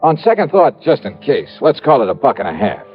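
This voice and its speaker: An actor playing a brisk, wry, hard-boiled but decent American insurance investigator in a 1950s radio detective drama. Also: male voice, mid-range voice, big pitch swings, soft background music, close to an everyday voice. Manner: wry, punchy, exclamatory. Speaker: special investigator narrating his case. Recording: muffled old-time radio recording.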